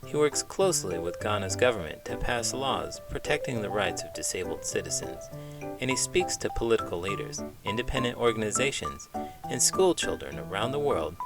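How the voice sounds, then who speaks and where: A man reading a children's book aloud in an expressive, emphatic, measured narrating style. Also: lowish voice, gravelly, over loud music. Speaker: children's book reader, teacher.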